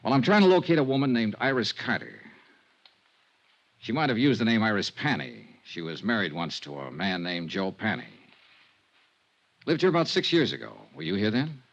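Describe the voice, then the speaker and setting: A courteous, dry-witted private investigator from a 1950s radio serial. His lowish voice moves up and down a lot. The sound is muffled old broadcast audio. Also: very animated, moderate pace. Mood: polite, probing. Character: polite, wry hard-boiled insurance investigator and narrator. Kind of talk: 1950s radio detective acting and narration.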